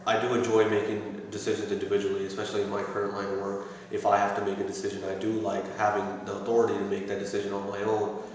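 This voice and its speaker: A man in his thirties from Pakistan, answering interview questions with many pauses and restarts. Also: lowish voice, completely flat delivery, far from the mic. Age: 30s. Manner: hesitant, many fillers and restarts. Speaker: interviewee, assistant store manager. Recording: echoey room, voice from afar, faint noise.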